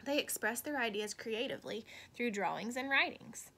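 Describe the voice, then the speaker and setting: A woman reading a children's storybook aloud expressively, with deliberate dramatic emphasis. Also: fairly high pitch, lively intonation, pushed and bright, a little hiss or hum. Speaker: storybook reader.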